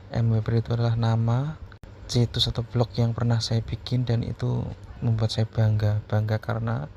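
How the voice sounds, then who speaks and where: A man with a Central Javanese accent talks in an even, gravelly voice of average pitch. He explains things casually to his viewers, circling back over his points and checking in with them. The recording is dull and lo-fi. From Purwodadi Grobogan, Central Java, Indonesia. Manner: repeats himself, checks in with listeners. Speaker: YouTuber answering viewers' questions. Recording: muffled, lo-fi, faint background noise.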